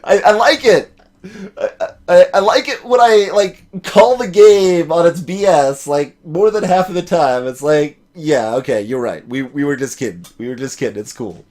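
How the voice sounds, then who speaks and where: A man's voice: fairly high pitch, moderate pace, darkish tone. A man giving casual, joking gaming commentary, rambling and repeating himself as he riffs. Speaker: let's play gamer voicing the game's characters.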